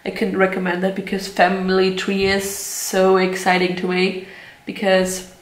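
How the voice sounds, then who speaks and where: Young woman vlogger, mid-pitched, chatty and unfiltered, recorded in a roomy space. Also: monotone, normal speed. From Germany. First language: German. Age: 20s. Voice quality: chatty, candid, laughs often, swears casually. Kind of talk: casual chat to camera. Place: at home, indoor room. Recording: roomy echo.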